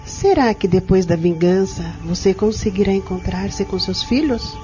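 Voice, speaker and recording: Mellow, patient woman counsellor played by an actress. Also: mid-range voice, normal speed, faint music behind, natural voice. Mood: patient, persuasive. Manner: earnest, patient, persuasive. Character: gentle, firm woman counselling a troubled spirit. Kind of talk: audio drama acting. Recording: dull lo-fi audio drama recording.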